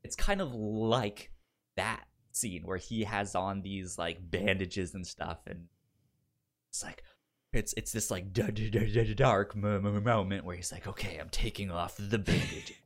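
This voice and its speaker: A man's voice: lowish voice, fairly even intonation, unhurried. Man with a stutter chatting about film. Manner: stutters, with repeated sounds and blocks. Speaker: podcast host. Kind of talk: casual film discussion.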